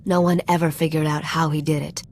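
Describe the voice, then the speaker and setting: A woman's voice: deep voice, level tone, fairly quick, a little mellow, slight background noise. A woman playing a sly, self-assured treasure hunter and ship mechanic in a video game, dry and teasing. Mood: wry, confident. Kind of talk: video game cutscene acting.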